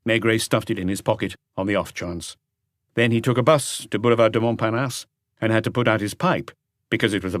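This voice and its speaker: A man reading a crime novel as an audiobook, in measured, articulate scripted narration. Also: fairly low pitch, no room sound, clean studio sound.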